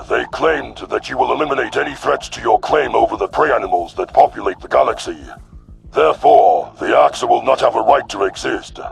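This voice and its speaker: A man voicing an arrogant, contemptuous alien predator warlord in a sci-fi audio drama, with a gravelly growl and a boastful, sneering manner. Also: medium pitch, pitched below the performer's own voice, low-quality recording.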